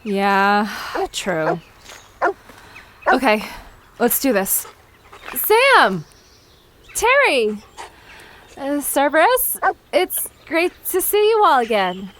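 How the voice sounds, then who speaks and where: Actress as an animated, high-voiced earnest young woman. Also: drawn-out words, long pauses, heavily acted. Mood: nervous, friendly. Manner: hesitant, earnest. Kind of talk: audio drama acting.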